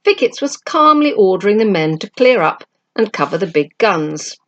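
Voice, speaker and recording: A woman's voice: medium pitch, very animated, moderate pace, muffled recording. A woman reading a children's novel aloud as a storyteller, expressively and with acted dialogue.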